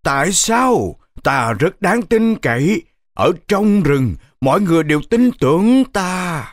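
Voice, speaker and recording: Hapless cartoon wolf: theatrical exclamations, wildly animated pitch, dry close sound. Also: male voice, unhurried, no room sound. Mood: coaxing. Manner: exclaiming, dramatic, stammering when flustered. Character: hapless, excitable grey wolf, protesting his innocence. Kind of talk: children's cartoon dub.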